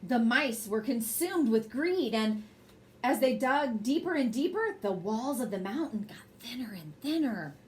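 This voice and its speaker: A woman's voice: a little high, lively intonation, measured pace, slight background noise. A woman reading a children's bedtime story aloud expressively, with brief asides to her young listeners. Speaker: storybook reader and host.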